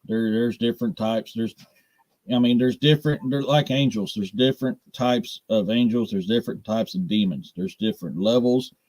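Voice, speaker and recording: A man's voice: fairly low pitch, normal speed, darkish tone, dry recording, rough recording. An American man in middle age chatting casually on a podcast, with a blunt, direct, folksy manner. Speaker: podcast co-host. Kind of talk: casual live podcast chat.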